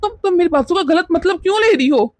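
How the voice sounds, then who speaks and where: A mild, bewildered young husband and devoted son in a domestic melodrama, played by a woman. The voice is high, with flustered questions and repeated words. It is recorded very dry. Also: animated, fast, darkish tone, dry recording. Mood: bewildered, defensive. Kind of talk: melodramatic domestic story acting.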